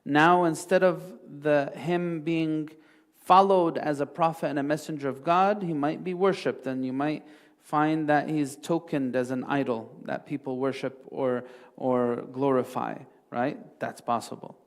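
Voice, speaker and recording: Man, religious teacher, slightly high voice, informal lecture, explanatory, emphatic on key points, checks in often. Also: fairly even intonation, measured pace. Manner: explanatory, checks in with rhetorical questions and "right?". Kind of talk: informal Islamic class lecture, answering a question.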